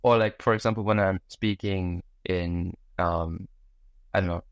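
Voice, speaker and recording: Low-voiced man chatting, dry close sound. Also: fairly even intonation, measured pace, no room sound, lo-fi sound. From Germany. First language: German. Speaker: interview guest. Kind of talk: casual interview chat.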